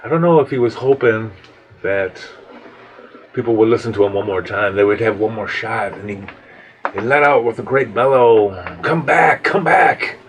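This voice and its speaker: A man telling a biblical story in an improvised, conversational way, halting and rambling as he reflects. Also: lowish voice. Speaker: storyteller, podcast host. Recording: dull lo-fi audio with background noise.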